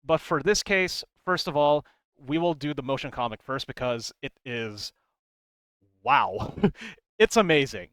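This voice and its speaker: A man's voice: a little high, lively intonation, measured pace, creaky, a bit bright, no echo. A man giving casual, rambling commentary as a video creator, conversational and emphatic, close to the microphone. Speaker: video creator explaining game lore.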